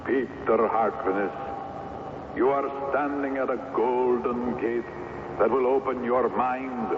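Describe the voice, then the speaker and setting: A man's voice: medium pitch, steady tone. An actor playing the solemn spirit of a dead male author at a seance in a radio drama, speaking grandly through ghostly reverb. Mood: solemn, oracular. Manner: slow, grandiloquent, oracular. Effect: heavy reverb, ghostly echo. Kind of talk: old-time radio drama acting. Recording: tinny noisy radio recording, long echoing reverb.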